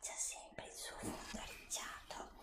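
A woman's voice: unhurried, reverberant. A middle-aged woman whispers an ASMR roleplay as a polite, reassuring shop assistant serving a customer. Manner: polite, attentive, reassuring, formally addressing a customer.

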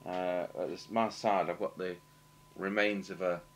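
A British man with a low voice talks through a hands-on technical job. He is matter-of-fact and halting, thinking aloud as he goes. A faint noise and hum sit behind him. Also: steady tone. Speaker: radio repair technician demonstrating. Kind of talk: unscripted technical demonstration commentary. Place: indoor workshop at a bench. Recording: clear background noise and hum.

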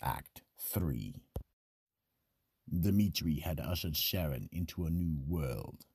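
A man playing an ominous narrator of a horror radio play, with a deep, gravelly voice. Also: very animated, slow, darkish tone, exaggerated voice.

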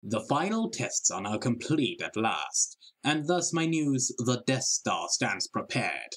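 Eager imperial admiral male actor, formal and lively, middle register, stage-verse audio drama. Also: mid-range voice, slow, breathy edge, exaggerated voice. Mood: proud. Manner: formal, declaiming archaic verse. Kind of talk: amateur Shakespearean dramatic reading.

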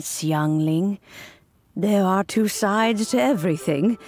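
A slow, stately old woman. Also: sits low. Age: older. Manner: slow, stately, formal speech. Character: wise elderly woman, gentle and measured. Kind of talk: video game voice acting.

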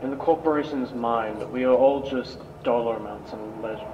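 A man playing a weary, guilt-ridden old scientist in an amateur video game dub, speaking gravely and solemnly. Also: medium pitch, normal speed, dull audio, background noise, natural voice. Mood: grave, explaining. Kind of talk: dramatic video game monologue, amateur voice acting. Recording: echoey, distant voice over game music.

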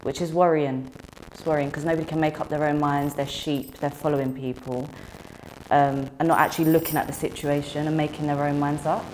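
A young woman with a notably low, deep voice talks openly in a relaxed interview. She speaks frankly, often pausing on ums and laughing easily. She is seated in a plain studio. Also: a little hiss or hum, low-quality recording. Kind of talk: casual interview chat.

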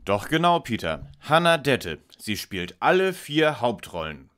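A man's voice: medium pitch, sing-song, unhurried, fairly bright tone, smooth. A man playing a self-assured, lecturing young detective leader in an audio drama parody. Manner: precise, pompous, lecturing.

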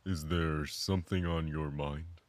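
A man playing a calm, grave, philosophical mentor in an audio drama, speaking in a measured, contemplative way. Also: very deep voice, no room sound.

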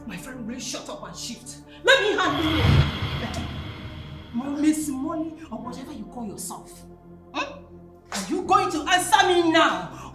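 An actress playing a domineering, greedy middle-aged mother with a Nigerian accent in a screen melodrama, scolding and confrontational. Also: sits high. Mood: furious, scolding. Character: domineering, greedy, quarrelsome mother of a grown daughter. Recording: roomy sound with background music.

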